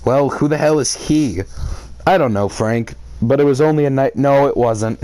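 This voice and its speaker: A man's voice: medium pitch, moderate pace, dark tone, no room sound, rough recording. A man reading a story aloud and reacting to it with theatrical, exclamatory commentary and sarcastic asides. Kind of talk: live read-aloud with outraged commentary.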